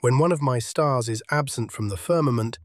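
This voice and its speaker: A man's voice: medium pitch, fairly quick, no echo, studio quality, lightly acted. A man as a haughty, droll Victorian lord. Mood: wry. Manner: haughty, wry, florid. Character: imperious, droll male Victorian lord, patron of artists. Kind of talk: audio drama acting.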